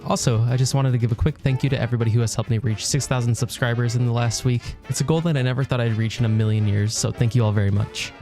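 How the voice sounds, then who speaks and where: A man's voice: medium pitch, steady tone, fast talker, few pauses, warm tone, faint music behind. A man narrating a scripted video essay in an informal, wry way with casual asides and mild swearing. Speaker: video essayist, channel host.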